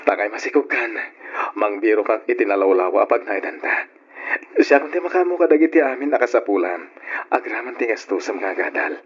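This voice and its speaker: A man's voice: fairly low pitch, animated, fairly quick, breathy edge. A man playing a caring, take-charge young boyfriend in an old Ilocano radio drama, with an Ilocano accent. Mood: concerned, insistent. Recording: dull lo-fi radio recording.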